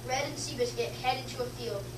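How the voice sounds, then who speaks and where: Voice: mid-range voice, fast. A young student, a girl, reading scripted narration aloud in a readers theater. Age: child or young teenager. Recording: echoey, noisy room, distant mic.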